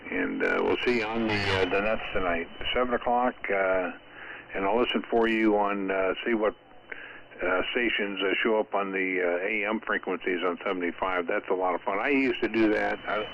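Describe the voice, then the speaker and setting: A man chatting casually as an amateur radio operator, in a rambling, halting way. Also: fairly low pitch, a bit bright. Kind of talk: casual ham radio chat. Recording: dull, faintly noisy amateur radio transmission.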